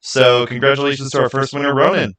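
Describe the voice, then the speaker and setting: A man's voice: mid-range voice, flat delivery, fast, fairly bright tone. A man hosting a livestream, chatting casually and informally straight to his viewers. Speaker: live stream host running a giveaway.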